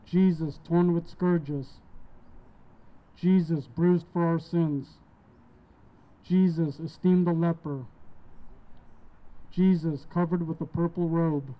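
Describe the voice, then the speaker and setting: A man leads solemn prayer in a formal, reverent manner. His voice sits a little higher than usual for a man, and he takes each phrase very slowly. The recording is dull and lo-fi. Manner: measured, formal, reverent recitation. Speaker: prayer leader.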